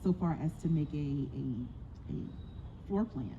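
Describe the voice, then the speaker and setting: A woman director talking in a halting, reflective way at a live Q&A. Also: deep voice, lively intonation. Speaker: audio play director. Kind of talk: live Q&A talk. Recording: muffled, noisy live event recording.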